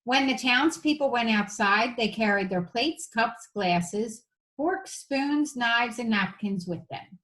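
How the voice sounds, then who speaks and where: A woman's voice: on the high side, expressive, takes it slowly, fairly bright tone, rough recording. Woman reading a storybook aloud. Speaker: elementary teacher reading aloud. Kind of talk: children's storybook read-aloud with casual asides.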